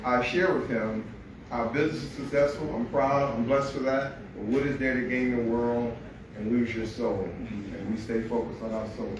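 A man's voice: medium pitch, steady tone, moderate pace. A man giving a heartfelt acceptance speech about his son on a handheld mic at an awards event. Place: large hall at an awards event. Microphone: handheld mic, filmed by a phone from the audience. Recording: echoey hall with loud noise, far from the phone.

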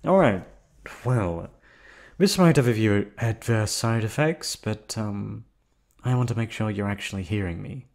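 A man voicing a calm, unhinged yandere receptionist who has kidnapped the listener, in an ASMR audio drama. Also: on the low side, a little slow, creaky. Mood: menacing. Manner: soft, coolly reasoning, menacing. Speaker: voice actor.

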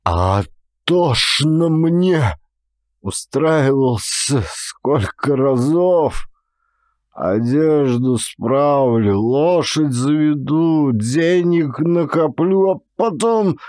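A man voicing a drunken, self-pitying young Cossack strongman in an audiobook, with slow, drawn-out muttering. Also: fairly high pitch, lively intonation, a little mellow, no echo, clean studio sound, pitched above the performer's own voice. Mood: anguished self-pity.

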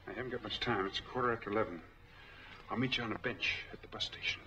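A man's voice: lowish voice, fast, close to an everyday voice. An actor playing a tense, guarded man on the run in a 1940s film noir, speaking tersely and in a businesslike way. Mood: brisk, decisive. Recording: muffled, noisy 1940s film soundtrack.

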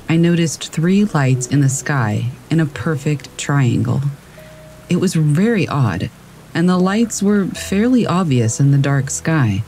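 Man narrating horror stories over soft rain. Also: on the high side, a little hiss or hum, low-quality recording. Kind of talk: scripted narration of reader submissions. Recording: faint rain in the background.

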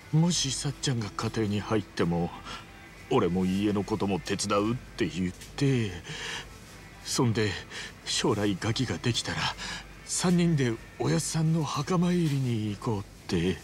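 An actor playing an earnest, easily flustered man with rough, blunt street speech in a video game drama. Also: fairly low pitch, animated, a little slow. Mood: sheepish. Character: earnest, rough-spoken, flustered younger man, big-hearted hero. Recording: music behind the voice.

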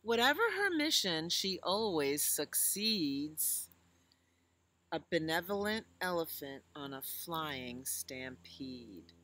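An American woman in her sixties reads to small children in a bright, slightly low-pitched voice. She takes her time, pausing to explain simply and to put questions to her young listeners. She is recorded outdoors. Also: very animated. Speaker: children's librarian reading storytime. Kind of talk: reading a picture book aloud to young children, with chatty asides. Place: outdoors in a leafy garden.

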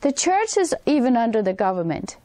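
A woman in her sixties from Denmark with a Danish accent, hosting her own channel and talking earnestly straight to camera in a plain, slightly halting way. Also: fairly high pitch, big pitch swings, warm tone, dry recording. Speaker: channel host. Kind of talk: direct-to-camera talk. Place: indoors, in front of a wintry forest backdrop. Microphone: camera framing head and shoulders.

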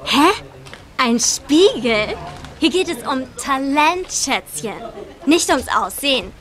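A woman's voice: sits high, lively intonation, takes it slowly, a bit bright, exaggerated voice. A woman playing a snooty, self-assured teenage singing contestant in an audio drama, talking condescendingly. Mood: smug.

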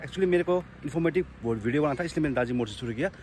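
A man's voice: a little high, racing, darkish tone, slight background noise. A young man doing casual travel-vlog narration outdoors on a helmet and selfie camera, chatting like a tour guide and calling out to his viewers. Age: young adult. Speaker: motorcycle travel vlogger. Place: outdoors on hill roads and at a roadside cafe. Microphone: helmet camera and handheld selfie camera. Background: background music and passing vehicles.

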